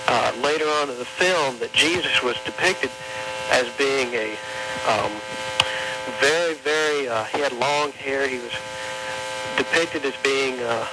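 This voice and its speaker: A man on a radio phone-in telling a story in a halting, deliberate, earnest-sounding way. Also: mid-range voice. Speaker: caller to a radio show. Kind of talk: slow, hesitant phone-in anecdote. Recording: humming, noisy radio phone call.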